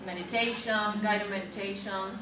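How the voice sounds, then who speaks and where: A woman's voice: mid-range voice, fairly even intonation, moderate pace, background noise. A woman from Argentina with an Argentine accent, a native Spanish speaker, giving casual answers as an interview guest. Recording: echoey, distant mic, background chatter.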